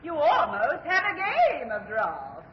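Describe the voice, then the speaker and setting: A sprightly, teasing heroine of a stage comedy, high and very animated. She is theatrical and sounds distant and echoey on an old radio recording. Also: slow, muffled recording, slight background noise, heavily acted. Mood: teasing, mock surprise. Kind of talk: 1940s radio acting of a stage play.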